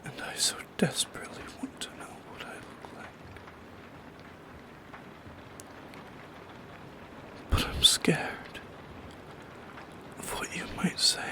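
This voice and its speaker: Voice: medium pitch, lightly acted. Whispering, lonely shadow creature, voiced by an ASMR performer. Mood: anguished, fearful. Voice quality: breathy whisper. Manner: slow, hesitant, philosophical musing. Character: lonely, wistful shadow creature haunting the listener at night. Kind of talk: soft-spoken ASMR monologue.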